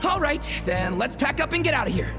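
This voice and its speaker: An irritable, sneaky bandit, high in pitch and very animated. The delivery is bossy and impatient, video game villain style, with music underneath. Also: female voice, a little fast. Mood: urgent. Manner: impatient, scolding. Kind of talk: video game cutscene acting.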